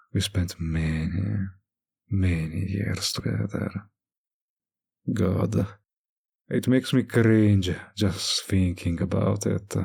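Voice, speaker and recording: Man playing a slow, gravelly, seductive vampire. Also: low voice, exaggerated voice. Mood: wistful, reminiscing. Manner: slow, teasing, hesitant drawl with soft pauses. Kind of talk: intimate roleplay audio addressed to the listener.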